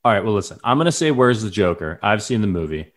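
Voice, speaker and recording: A man's voice: on the low side, sing-song, fast talker. A man co-hosting a podcast in casual, playful chat, often laughing. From lives in Chicago. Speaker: podcast co-host.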